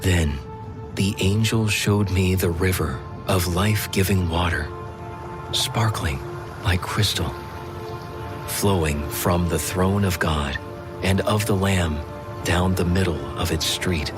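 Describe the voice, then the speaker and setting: Deep male narrator, solemn bible drama reading, big loud music underneath. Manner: solemn, measured. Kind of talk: dramatic scripted scripture narration. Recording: studio voice under loud orchestral score.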